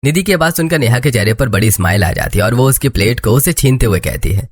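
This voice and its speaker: A man's voice: mid-range voice, expressive, fast, breathy edge. A man narrating a scripted cartoon story as its storyteller.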